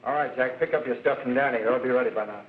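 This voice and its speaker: A man's voice: medium pitch, racing, close to an everyday voice. A cool, menacing crime boss played by a male actor in a 1930s film. He talks in clipped, slangy commands, and the soundtrack is thin, tinny and faintly noisy.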